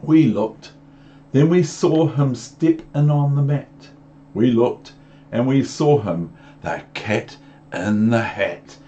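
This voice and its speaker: A man's voice: medium pitch, slow, warm tone, highs cut off, slight background noise. A man with a New Zealand accent reading a storybook aloud to young children, with chatty asides. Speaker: storybook reader.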